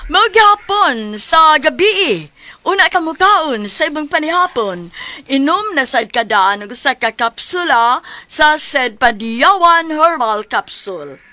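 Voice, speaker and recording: A woman with a Cebuano accent giving an instructional product pitch in a deliberate, emphatic way. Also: expressive, sharp tone, dry recording. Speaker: product announcer.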